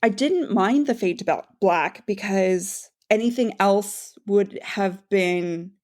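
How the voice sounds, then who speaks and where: A woman's voice: medium pitch, takes it slowly, a little mellow. A woman co-hosting a podcast, casually discussing a story and agreeably reasoning through her opinions. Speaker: podcast co-host.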